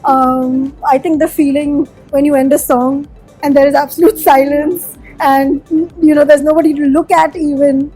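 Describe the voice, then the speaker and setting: A woman's voice: sits high, little pitch movement, moderate pace, warm tone, soft background music, poor audio. A woman from Sikkim whose first language is Nepali, a musician answering interview questions in a chatty, candid way with plenty of fillers. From Sikkim, India.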